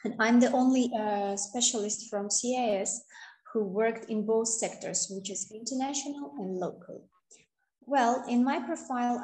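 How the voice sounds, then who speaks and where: Woman from Kazakhstan, webinar presenter: slow, halting delivery over a video call, echoey room. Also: fairly high pitch, darkish tone. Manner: slow, hesitant, with frequent fillers.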